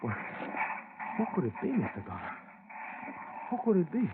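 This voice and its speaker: An actor playing an excitable, anxious man in agitated, questioning 1950s radio style. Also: on the high side, normal speed. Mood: agitated, dismayed. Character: excitable, anxious man, the investigator's local companion. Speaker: supporting actor in a radio drama. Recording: noisy, muffled 1950s radio recording.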